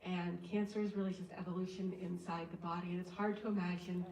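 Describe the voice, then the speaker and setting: A woman on a panel, explaining in plain terms. Her voice is slightly low with a gravelly fry and sounds distant from the mic, carrying a hall's echo. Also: flat delivery, quick pace, a little mellow. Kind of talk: panel discussion. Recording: roomy hall, mic at a distance.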